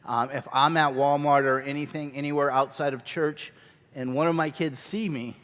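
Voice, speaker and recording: A man's voice: on the high side, clear, resonant tone. A man teaching a children's ministry workshop at a conference, talking energetically and conversationally on a handheld mic. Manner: energetic, conversational, quick asides. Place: large church hall. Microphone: handheld microphone. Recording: long hall reverb.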